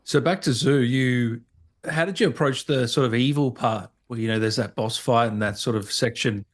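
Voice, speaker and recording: A man's voice: mid-range voice, normal speed. A man hosting a casual interview, asking questions and interjecting informally with enthusiasm. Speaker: interview host.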